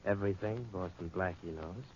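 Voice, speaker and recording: An actor playing a cocky, touchy, hard-boiled private detective in a 1940s radio drama. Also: male voice, deep voice, a little fast, lightly acted. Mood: smug, sly. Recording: dull, noisy 1940s radio recording.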